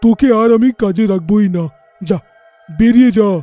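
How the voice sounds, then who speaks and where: Casting: man as excitable cartoon landlord, blustery and scolding, high yet dark-toned. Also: normal speed, soft background music, natural voice. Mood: angry scolding. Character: irritable village landlord, scolding, easily frightened. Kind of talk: animated horror story voice acting.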